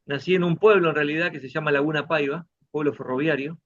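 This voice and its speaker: An Argentine man with a voice a little higher than most, speaking thoughtfully and unhurriedly as an interview guest. He often closes a thought with a soft tag question. The audio is dull and lo-fi. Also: steady tone, fast talker. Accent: Argentine Spanish. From Santa Fe province, Argentina. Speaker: guest musician. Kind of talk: relaxed interview conversation.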